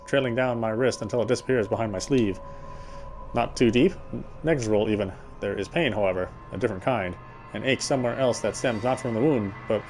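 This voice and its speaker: A man reading a game's story narration aloud in a dramatic storytelling style. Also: medium pitch.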